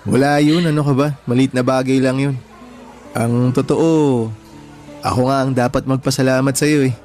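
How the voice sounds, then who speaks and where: A modest Filipino man, played by a male actor, courts gently in a radio drama. His voice sits at a middling pitch, self-effacing and insecure, over faint music. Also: steady tone, normal speed, lightly acted. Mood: grateful, shy.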